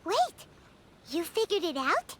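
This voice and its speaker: A woman voicing a tiny, excitable female fairy companion in a video game, eager and blunt, full of exclamations and questions. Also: very high-pitched, slow, full character voice. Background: game music.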